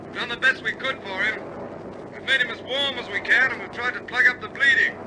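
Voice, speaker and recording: High-pitched airman amid engine noise. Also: steady tone, fairly quick. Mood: urgent relief. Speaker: bomber crewman. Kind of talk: vintage radio war drama acting. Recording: loud aircraft engine drone behind voice.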